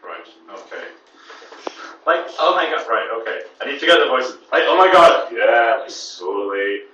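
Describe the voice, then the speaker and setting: A man's voice: medium pitch, big pitch swings, moderate pace, pushed and bright, muffled recording. A man doing an informal amateur dramatic reading, flustered and often breaking off into casual chat. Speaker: amateur reader at writers' society. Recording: very echoey room, distant mic, faint noise.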